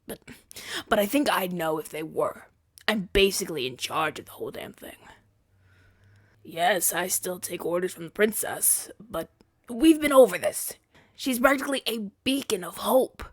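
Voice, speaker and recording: A man's voice: high voice, lively intonation, normal speed, no echo. Man acting an earnest, defensive rebel hero, dry recording. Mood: defensive, exasperated. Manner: conversational, stammering, exasperated arguing. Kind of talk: ASMR-style audio roleplay acting.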